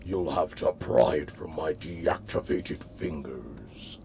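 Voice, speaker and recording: A man voicing a brutish, taunting robot henchman in a video game, with a menacing gravelly growl. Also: extremely low pitch, slight background noise, heavily acted. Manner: menacing, drawn-out threats. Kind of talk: video game cutscene acting. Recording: loud music behind the voice.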